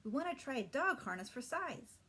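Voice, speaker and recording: A woman voicing a playful, excitable, chirpy little girl in a children's storybook. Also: sits high, brisk, husky, pitched above the performer's own voice, exaggerated voice. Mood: excited.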